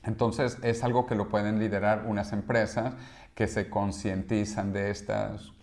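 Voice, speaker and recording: A man's voice: lowish voice, flat delivery, measured pace, darkish tone. A man in his fifties from Venezuela, a guest in an interview, explaining in an emphatic, example-packed way. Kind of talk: conversational interview.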